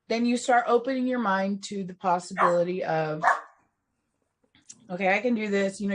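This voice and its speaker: A woman co-hosting a recovery podcast, talking reflectively with many restarts and laughing between thoughts. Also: mid-range voice. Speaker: podcast co-host. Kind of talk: casual chat on recovery and spirituality.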